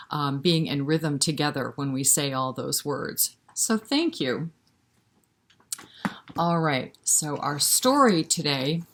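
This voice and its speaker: Low-pitched woman leading children's storytime. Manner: encouraging, interactive, talking directly to young children. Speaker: children's library storytime reader. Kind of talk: children's storytime with nursery rhymes.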